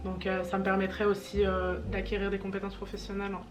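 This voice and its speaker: A young woman from France with a French accent, chatting casually to camera in a vlog intro, soft and hesitant with many fillers. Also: mid-range voice, monotone, fast. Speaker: vlogger. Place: home in Montreal. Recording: music bed under voice.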